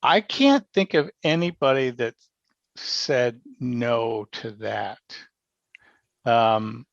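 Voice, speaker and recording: A man talking casually and reflectively as a podcast guest, thoughtful and halting, often pausing mid-sentence. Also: mid-range voice, gravelly, no room sound. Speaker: podcast guest, amateur theater member. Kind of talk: casual reflective interview conversation. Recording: dry, dull lo-fi sound.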